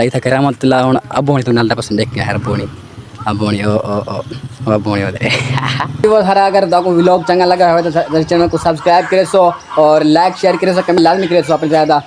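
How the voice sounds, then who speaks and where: An excitable man narrates a village vlog outdoors, his voice pitched high and a little hoarse. He chats with friends and calls out to people he passes. A faint hum of outdoor noise sits behind him. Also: brisk. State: sore throat. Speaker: vlogger. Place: outdoors in the countryside.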